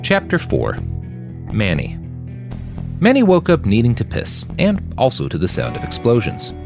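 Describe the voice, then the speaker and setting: A man reading dramatic fiction aloud in an expressive, emphatic storytelling manner. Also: mid-range voice, normal speed, vocal fry, music in the background. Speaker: author narrating own fiction. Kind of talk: dramatic audiobook narration.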